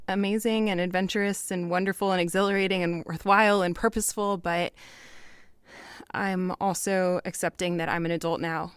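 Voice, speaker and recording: An actress playing an anxious, wry young woman who confides in a candid, halting, rambling way in an audio drama. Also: fairly low pitch, fairly even intonation, smooth, no room sound, clean studio sound, close to an everyday voice. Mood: reflective, resigned. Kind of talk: naturalistic audio drama dialogue.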